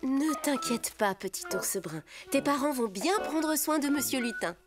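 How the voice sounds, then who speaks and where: High, lively, motherly bear. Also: unhurried, over music. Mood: reassuring. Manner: reassuring, motherly. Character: kindly adult mother bear, caring and reassuring. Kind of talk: preschool cartoon voice acting.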